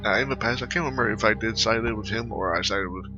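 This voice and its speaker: A man with a very deep voice comments casually on his gameplay. He is informal and rambling, mumbling a little, with game music clearly playing behind him. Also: fast, highs cut off. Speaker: gamer recording a playthrough. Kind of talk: casual gameplay commentary, reading out dialogue choices.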